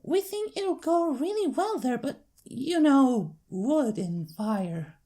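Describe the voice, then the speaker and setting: Woman playing a high-pitched, curious female pony. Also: animated, slow, dark tone. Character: curious, polite female unicorn pony customer. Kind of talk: fan audiobook character acting.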